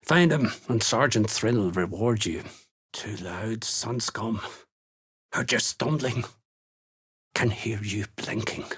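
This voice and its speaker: Fantasy game villain: gruff cult slaver, husky, unhurried, scornful. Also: male voice, lowish voice, fairly bright tone, exaggerated voice. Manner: curt, threatening, contemptuous. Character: gruff, menacing slaver serving a dark cult. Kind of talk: video game character dialogue.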